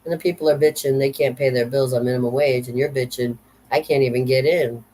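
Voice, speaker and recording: A woman's voice: very deep voice, steady tone, fast, dark tone, low-quality recording. A woman in her fifties delivering an unscripted rant to camera, blunt and profane, circling back over the same points with sardonic humor. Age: 50s. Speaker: vlogger.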